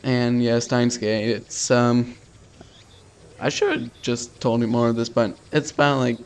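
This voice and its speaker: A man's voice: medium pitch, creaky, darkish tone. A man narrating a visual novel let's play in an informal, slangy, offhand way. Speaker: video game streamer doing a let's play. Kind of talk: casual let's play, reading visual novel text aloud.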